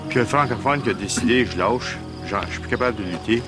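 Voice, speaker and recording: A man's voice: fairly low pitch, very fast. A man talking bluntly in a documentary interview, repeating words. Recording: voice with music behind.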